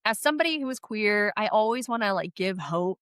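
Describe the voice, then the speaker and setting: A woman's voice: mid-range voice, a little fast, smooth, no room sound. Woman, stuffy from a cold, chatty podcast host, dry close sound. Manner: chatty, animated, laughs often. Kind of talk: casual chat.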